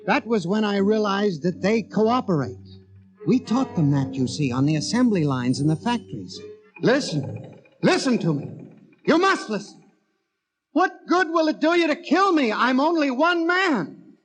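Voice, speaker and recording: An actor playing a haunted, obsessive clerk, narrating a 1950s radio drama in an intense, theatrical, deliberate manner. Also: male voice, high voice, very animated. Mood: mounting dread, then desperate, frantic. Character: haunted, obsessive clerk Samson Gurney recounting a machine conspiracy. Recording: vintage radio recording with music behind.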